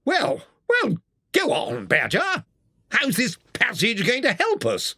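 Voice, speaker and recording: A reader voicing an excitable, melodramatic male toad in a storybook. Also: mid-range voice, slow, breathy edge, no echo, studio quality, pitched above the performer's own voice, exaggerated voice. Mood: eager, excited. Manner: sobbing and melodramatic, swooping.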